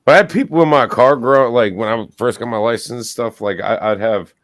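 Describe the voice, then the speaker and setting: A man's voice: medium pitch, fairly quick, no echo. A man in his thirties co-hosting a podcast with teasing, sweary casual banter. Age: 30s. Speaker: podcast co-host.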